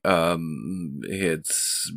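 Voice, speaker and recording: A man acts out a shaken crewman in a sci-fi horror role-play. The voice is deep and slow, with halting, mumbled stammers, recorded dry and close. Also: vocal fry, fairly bright tone, no room sound, heavily acted. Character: shaken male spaceship crewman, poisoned and chased by monsters. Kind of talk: tabletop game role-play.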